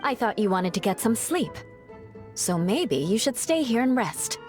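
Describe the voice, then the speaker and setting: A woman's voice: fairly high pitch, very animated, a little slow, a little mellow, soft background music. Proud, aloof noblewoman knight. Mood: haughty, flustered. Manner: formal, haughty phrasing. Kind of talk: anime video game voice acting.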